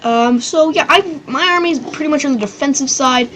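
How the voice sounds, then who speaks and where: A woman with a high, bright-pitched voice narrates an online strategy battle in an offhand, rambling way. She gets excitable, drops the odd swear and slips into goofy bits, with a faint hiss of noise under her. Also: brisk. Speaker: gaming channel host. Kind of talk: casual strategy game commentary.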